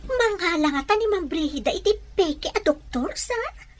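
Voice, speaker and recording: An actress with an Ilocano Filipino accent playing a respectful, questioning household helper in a radio drama. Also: female voice, very high-pitched, animated, normal speed. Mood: deferential.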